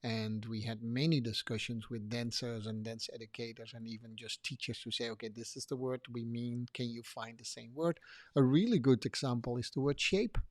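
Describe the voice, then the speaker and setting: A man's voice: mid-range voice, steady tone, moderate pace, dry recording. Man, dry close sound. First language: Dutch. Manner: thoughtful, rambling with restarts and soft trailing endings. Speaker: podcast co-host. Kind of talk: casual conversation.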